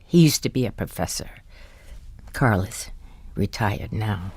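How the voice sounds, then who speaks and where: An actress playing a polite, patient middle-aged wife in an audio drama, speaking in a hesitant, confiding and quietly candid way. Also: female voice, a bass voice, animated, measured pace, slightly rough, full character voice. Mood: confiding, wistful.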